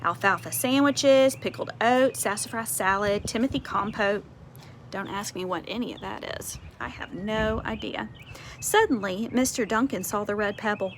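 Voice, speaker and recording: A woman reading a picture book aloud to children outdoors, expressive, with conversational explanatory asides. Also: medium pitch, moderate pace. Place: outdoors on a porch. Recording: faint outdoor ambient noise.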